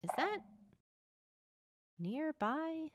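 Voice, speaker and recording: A woman's voice: high-pitched, big pitch swings, extremely slow, dry recording, studio quality. A woman playing in an online roleplay, casually talking and asking questions in character. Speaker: roleplay gamer.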